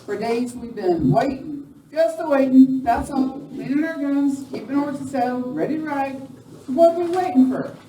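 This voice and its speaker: A woman's voice: sits high, normal speed, mellow, close to an everyday voice. A woman playing a feisty, impatient rancher in a live amateur Western radio play, blunt and folksy. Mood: impatient, exasperated. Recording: distant, echoey live room recording, faint noise.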